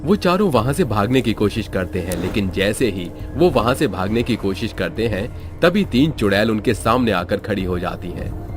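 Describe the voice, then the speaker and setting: A man narrating a cartoon horror story in a steady storytelling manner. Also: fairly low pitch, normal speed. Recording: background music under narration.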